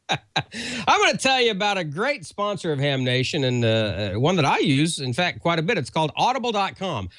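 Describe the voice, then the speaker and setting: A man's voice: fairly high pitch, big pitch swings, normal speed, fairly bright tone, no echo, clean studio sound. A man co-hosting a show, giving a casual, conversational sponsor read that rambles a little. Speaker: show co-host.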